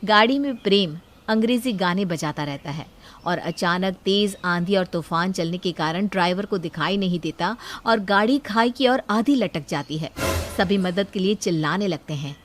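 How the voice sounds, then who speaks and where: A woman's voice: on the low side, normal speed, slight background noise. A woman storyteller reading a scripted moral story as narrator.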